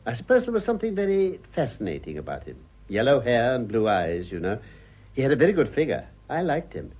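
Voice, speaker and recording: Casting: urbane older British husband, wry and avuncular, breathy voice with hugely varied intonation, radio stage comedy, lo-fi recording. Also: male voice, mid-range voice, huge pitch swings, fairly quick. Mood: reflective. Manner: dry, ironic, avuncular. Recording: slightly dull, lo-fi audio.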